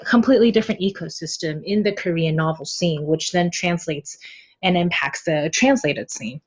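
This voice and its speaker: A woman's voice: on the low side, moderate pace, husky, lo-fi sound. A woman chatting informally as an interview guest, explaining things in a conversational way. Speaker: podcast guest, web novel translator. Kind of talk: casual interview chat.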